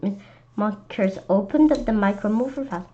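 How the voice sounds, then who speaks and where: A woman's voice: mid-range voice, fairly quick, dark tone, muffled recording. A woman reading a children's story aloud expressively, often stumbling over and repeating words. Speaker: children's read-aloud host. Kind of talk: animated storybook narration.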